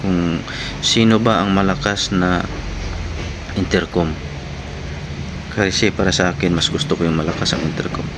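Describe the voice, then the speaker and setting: A man's voice: sits low, normal speed, pauses often. A man giving a casual product review to viewers in short, informal phrases. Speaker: vlogger reviewing gear. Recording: clearly noisy, steady background hum.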